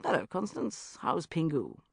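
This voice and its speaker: A woman's voice: low voice, wildly swooping pitch, a little slow, vocal fry, breathy edge, no echo, studio quality, full character voice. A woman voicing a sulky, bluntly honest little girl as an audiobook character. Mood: matter-of-fact.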